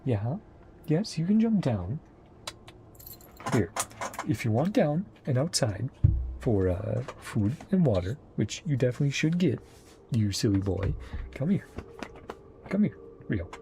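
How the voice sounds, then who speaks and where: A man's voice: mid-range voice, very animated, measured pace, long pauses, noisy background. A man giving casual reaction commentary on a game story, in trailing, fragmented remarks. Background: game music.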